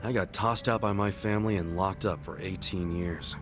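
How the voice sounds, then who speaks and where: An actor playing a brash, good-hearted ex-yakuza in his forties for a video game, with a casual, blunt, slangy and expressive delivery. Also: low voice, normal speed, music in the background, close to an everyday voice. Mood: wry, sympathetic. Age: 40s. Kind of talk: English video game voice acting.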